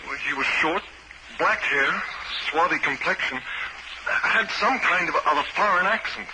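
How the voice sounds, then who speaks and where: A man acts out a jittery, defensive young fellow in trouble with the law. His voice is high, in old radio drama style. The recording is very noisy. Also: moderate pace, natural voice. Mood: uneasy. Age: young adult. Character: anxious, defensive young man held as murder suspect. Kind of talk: 1950s radio drama acting.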